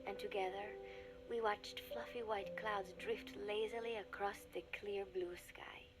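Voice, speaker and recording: An actress voicing an earnest young woman reading her diary aloud in a video game, calm and reflective. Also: medium pitch, unhurried, over loud music, natural voice. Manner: calm, reflective, softly spoken. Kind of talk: game audio diary narration.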